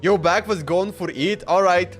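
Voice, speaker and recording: A man's voice: high-pitched, normal speed, faint music behind. A man giving casual live gameplay commentary as a streamer, rambling and thinking aloud. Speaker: game streamer.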